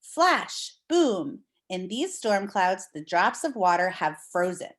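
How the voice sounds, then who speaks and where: A woman teacher leading a read-aloud lesson for young children, explaining things simply and reading with deliberate expression. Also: medium pitch, big pitch swings.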